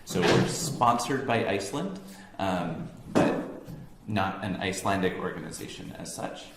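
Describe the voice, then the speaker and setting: An American man from near Boston giving a relaxed, conversational talk to an audience, with frequent ums and self-corrections. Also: low voice, a little slow. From United States, near Boston. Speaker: science organization staff giving a talk. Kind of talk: informal presentation to an audience. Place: room with an audience. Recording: echoey room, faint background hum.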